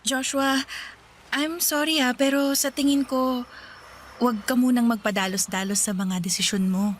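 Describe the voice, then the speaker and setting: A woman's voice: a little high, fairly even intonation, normal speed, slight background noise, lightly acted. An actress playing a young woman who hesitantly and apologetically ends a romance in a radio drama. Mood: apologetic. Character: young woman gently ending a fling.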